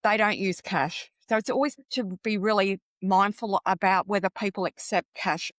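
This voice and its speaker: A woman's voice: mid-range voice, moderate pace, fairly bright tone, dry recording, studio quality. A woman with an Australian accent, chatting as a podcast guest and giving practical advice in a conversational way. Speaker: podcast guest, travel coach.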